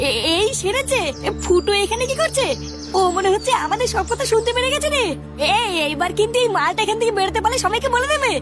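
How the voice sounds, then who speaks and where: A performer voicing a foul-mouthed, scheming, excitable cartoon rascal with a crude, taunting manner, in comic dialogue. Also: very high voice, quick pace. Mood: alarmed. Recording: loud music behind the voice. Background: loud music.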